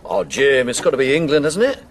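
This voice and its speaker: A breezy, self-assured bloke, played by a man, chats jovially in a radio soap. His intonation is very animated, over faint background noise. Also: mid-range voice, a little fast, natural voice. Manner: casual, jovial. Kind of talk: naturalistic radio soap acting.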